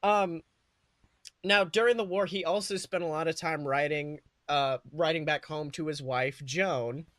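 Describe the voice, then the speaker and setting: A man's voice: on the high side, a little slow, a bit bright, no room sound. A man co-hosting a podcast, improvising a comedic story in an informal, sweary way with dramatic build-ups. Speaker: podcast co-host.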